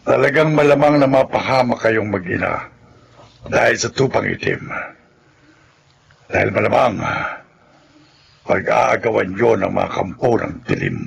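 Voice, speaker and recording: A man with a Filipino accent playing a grave, foreboding village folk healer with a gravelly voice in a horror radio drama. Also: lowish voice, big pitch swings, a little slow, long pauses, exaggerated voice.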